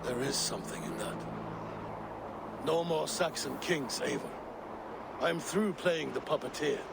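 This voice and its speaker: A boisterous Viking warlord for a video game. He declaims grandly in a highish, gravelly voice. Heavy outdoor noise surrounds him. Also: measured pace. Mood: grave, resolute. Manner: grandiose, declamatory battle talk. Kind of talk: dramatic video game acting.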